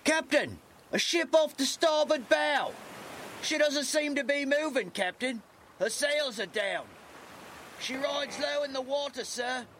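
A man playing a disgruntled but obedient pirate lookout in an audio drama, with rough, old-fashioned sailor's speech. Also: extremely high pitch, measured pace, a bit bright. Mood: dutiful reporting. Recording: clear background ship ambience noise.

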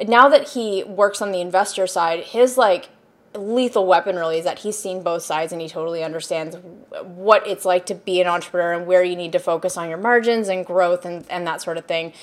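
A woman's voice: on the low side, a little fast. A woman hosting a business podcast, chatty and upbeat, rambling a little with frequent ums. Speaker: podcast host. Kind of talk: casual podcast interview.

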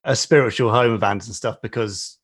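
A man's voice: fairly low pitch, a little fast, breathy edge. A man hosting a podcast interview, playful and joking at his own expense. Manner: playful, jokey, self-deprecating. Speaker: podcast host. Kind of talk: casual interview.

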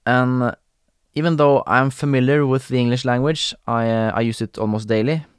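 A young man from Norway hosting a podcast in English with a Norwegian accent, talking casually with frequent pauses and fillers. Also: mid-range voice, steady tone, clean tone, no echo, studio quality. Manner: hesitant, many fillers and restarts, laughs often. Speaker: podcast host. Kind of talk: casual solo podcast talk.

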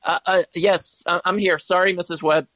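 An actor playing a shy, imaginative high school boy, hesitant and earnest, in an audio drama. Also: fairly even intonation, moderate pace, crowd noise, close to an everyday voice. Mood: flustered.

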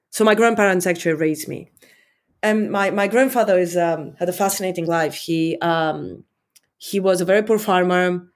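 A woman in her forties, from Romania, speaking English as a second language as an interview guest, thoughtful and repeating words with many fillers. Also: on the low side. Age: 40s. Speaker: company leader, guest. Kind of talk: interview conversation.

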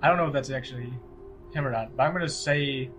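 A man's voice: medium pitch, quick pace, background noise. A man talking casually as a player in a tabletop roleplaying game, working out his character's actions. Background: music.

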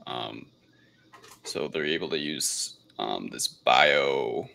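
Male podcast host, very low and creaky, loose hesitant film chat. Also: slow, sharp tone. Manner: hesitant, unscripted, rambling delivery. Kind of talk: casual podcast film review.

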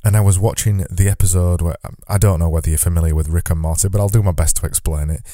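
Podcast host, male, low dark voice, earnest and reflective, dry close sound. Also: quick pace, no echo. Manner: earnest, reflective, says he lifts his normally monotone voice. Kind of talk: solo podcast monologue.

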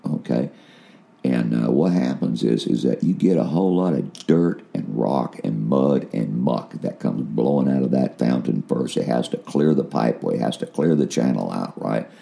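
A man's voice: very deep voice, gravelly, mellow. A man giving an earnest spiritual testimony, repeating himself and checking in with "right?", with a big voice that climbs when stirred. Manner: earnest, repetitive, punctuates with "right?". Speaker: preacher.

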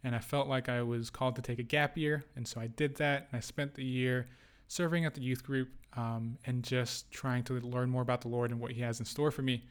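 A man's voice: mid-range voice, steady tone, brisk. A young adult man co-hosting a casual podcast, talking in a playful, joking way. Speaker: podcast co-host. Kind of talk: casual chat.

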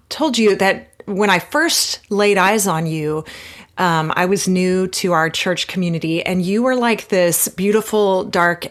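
A woman in her thirties hosts a podcast and talks with a close friend. Her voice sits at a middle pitch, and her manner is warm and fond, with easy laughter. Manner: warm, affectionate, laughs easily. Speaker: podcast host. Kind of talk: casual podcast interview.

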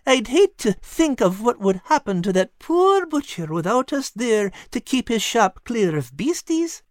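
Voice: medium pitch, very animated, unhurried, no echo, clean studio sound, pitched above the performer's own voice. A narrator voicing an ever-hungry male cat who speaks in a folksy dialect. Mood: pleading. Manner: folksy dialect, says lass and me. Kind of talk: storybook character voice.

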